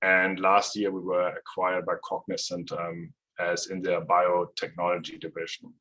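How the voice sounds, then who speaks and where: A middle-aged man from Germany with a German accent, giving a relaxed technical presentation over a video call. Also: low-pitched, moderate pace. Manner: relaxed, explanatory, with frequent fillers. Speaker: guest presenter.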